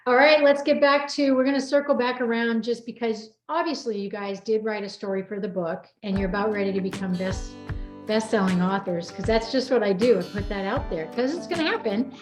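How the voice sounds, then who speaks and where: A woman's voice: a little high, a little fast. A middle-aged woman hosting a casual group chat in an encouraging, informal way. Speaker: host. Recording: roomy echo, faint music.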